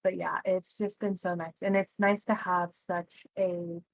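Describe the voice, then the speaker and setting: A woman in her early thirties talks on a podcast in a fairly low voice, recorded close and dry. She speaks earnestly and reflectively, often letting her sentences trail off softly. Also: little pitch movement, unhurried, creaky, dry recording, rough recording. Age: 30s. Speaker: podcast co-host. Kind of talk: casual chat.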